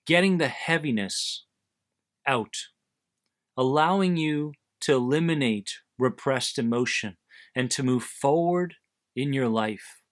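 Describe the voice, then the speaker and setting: A man leading a guided visualization in a deliberate, soothing manner, pausing between short phrases. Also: mid-range voice. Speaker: host of an anxiety support podcast, guiding listeners. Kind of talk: guided visualization, meditation narration. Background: background music.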